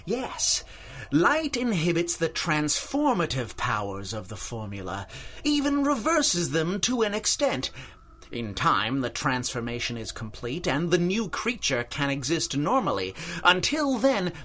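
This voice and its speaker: An obsessed, theatrical older mad scientist. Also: male voice, a little high, wildly swooping pitch, measured pace, sharp tone, heavily acted. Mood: intense, lecturing. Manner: formal, theatrical, patronizing. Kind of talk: 1930s-style radio drama acting.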